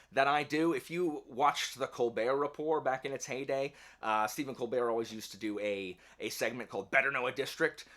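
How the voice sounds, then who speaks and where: A man with a bright, forward voice at an ordinary male pitch talks casually as the host of an online channel. He rambles in a wry, self-deprecating way, as if chatting straight to his viewers. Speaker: YouTube book channel host. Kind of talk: casual channel update to camera. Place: indoor home office.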